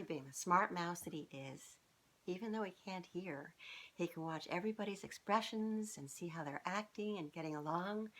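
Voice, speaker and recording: A woman's voice: fairly low pitch, fairly bright tone. A middle-aged woman reading a children's storybook aloud, speaking expressively and directly to young listeners. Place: small furnished living room, indoors.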